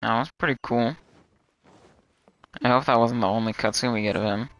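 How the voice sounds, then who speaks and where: A man's voice: medium pitch, moderate pace, a bit bright, no echo. A man streaming a video game, reacting casually in a chatty way.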